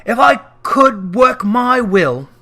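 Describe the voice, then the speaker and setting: A man's voice: extremely high pitch, very animated, slow, sharp tone, pitched above the performer's own voice, heavily acted. A man voicing a bitter, grumpy old miser uncle in a storybook reading, curt, stern and emphatic. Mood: indignant scorn.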